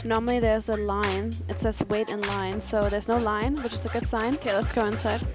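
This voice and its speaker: A woman's voice: medium pitch, level tone, fairly quick. A young woman from Germany, a native German speaker, chatting casually as a vlogger with music behind her. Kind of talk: casual vlog chat.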